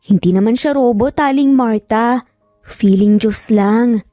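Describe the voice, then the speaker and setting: A woman's voice: on the high side, a little slow, warm tone, no room sound, studio quality, soft background music, natural voice. A woman voicing a feisty, easily flustered young single-mother nanny, sassy yet polite, in a narrated story. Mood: wry.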